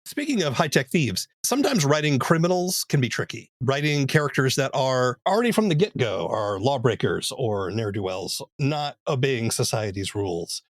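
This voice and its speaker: A man hosting a podcast, asking questions in a relaxed, conversational way. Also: mid-range voice, normal speed, hardly any pauses, dry recording. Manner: inquisitive, conversational. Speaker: podcast host. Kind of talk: casual interview.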